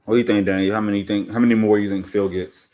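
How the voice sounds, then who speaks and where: A man's voice: fairly low pitch, steady tone, rapid-fire, mellow. A man in a casual sports chat, speaking as a panelist on a fan podcast.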